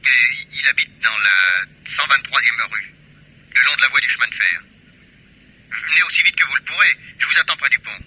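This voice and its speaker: A man's voice: medium pitch, lively intonation, a little fast, pushed and bright, a little hiss or hum. An actor playing a persistent man hunting for a missing woman in a vintage radio drama, with a probing, insistent manner. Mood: phoning. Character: persistent male amateur investigator, Lombard. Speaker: lead in a radio play. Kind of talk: 1950s radio drama acting. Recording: dull old radio recording.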